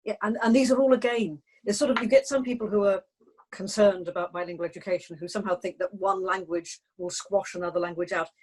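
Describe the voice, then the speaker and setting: Thoughtful woman with a measured delivery. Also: mid-range voice, lively intonation, a little mellow, low-quality recording. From England. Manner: thoughtful, measured, with frequent restarts. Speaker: guest. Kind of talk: panel discussion.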